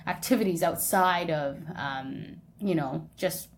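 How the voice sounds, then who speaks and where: A woman's voice: on the low side, slow pace, a little hiss or hum. A young woman, co-hosting a podcast in casual, conversational chat, often checking in with the listener. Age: young adult. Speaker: podcast co-host.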